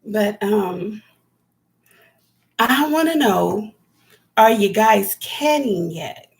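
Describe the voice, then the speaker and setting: A woman with a raspy voice chatting casually on a live stream as a homesteading vlogger, rambling and talking to viewers by name. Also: medium pitch, animated, extremely slow. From California.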